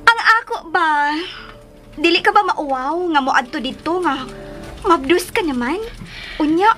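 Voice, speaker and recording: A jealous, demanding young woman in a radio drama, very high and piercingly bright. She swoops pushily through her lines, with music playing behind her. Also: animated, measured pace, heavily acted. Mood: confrontational. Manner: pushy, confrontational.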